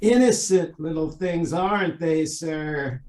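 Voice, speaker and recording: A woman plays a police detective going over evidence in an old-time radio play. The delivery is very slow, measured and matter-of-fact, deferential to a superior. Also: low-pitched, mellow. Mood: musing.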